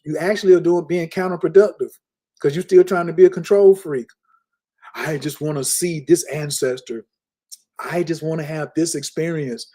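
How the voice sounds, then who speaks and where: A man teaching in an emphatic, lecturing way on a casual podcast, repeating key words slowly. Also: fairly high pitch, dark tone. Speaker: spiritual teacher. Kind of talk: casual podcast talk, preaching.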